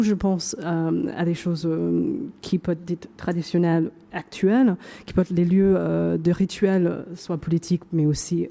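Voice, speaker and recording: A woman's voice: fairly low pitch, gravelly, mellow. A woman whose first language is not French, making a workshop remark carefully and searching for words. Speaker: workshop participant. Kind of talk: academic discussion remark.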